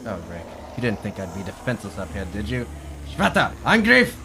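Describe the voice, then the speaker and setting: A man's voice: fairly low pitch, huge pitch swings, fairly quick, pushed and bright, slight background noise, full character voice. A man playing a menacing mad scientist villain in an audio drama, gloating and theatrical with mock politeness.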